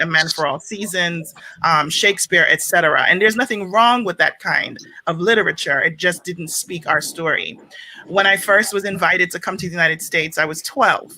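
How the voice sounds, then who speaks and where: A middle-aged woman from Jamaica, speaking as a panelist on a video call with quick, animated storytelling. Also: fairly low pitch, slight background noise, poor audio.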